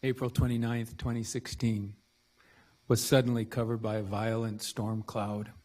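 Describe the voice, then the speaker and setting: Man, fairly low-pitched, public reading, volunteer reader. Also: little pitch movement, moderate pace, darkish tone. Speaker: nonprofit director, volunteer reader.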